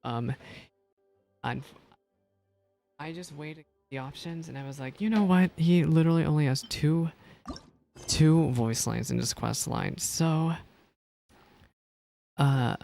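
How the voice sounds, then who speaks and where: Male game streamer, casual and rambling, slightly gravelly mid-range voice over faint music. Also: a little high, slow, pauses often. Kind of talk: casual gameplay reaction commentary. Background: soft video game music.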